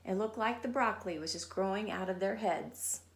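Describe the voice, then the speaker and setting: A woman reading a children's story aloud like a teacher, in an animated storytelling style. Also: medium pitch, moderate pace. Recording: echoey, roomy sound.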